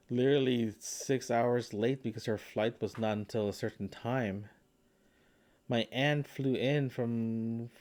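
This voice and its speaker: A man who is sick and grieving records a reflective solo audio diary at home, speaking haltingly and searching for words. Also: mid-range voice, warm tone. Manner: halting, searching for words, frequent throat clearing. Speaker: podcast host recording a personal audio log. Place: indoors at a family home.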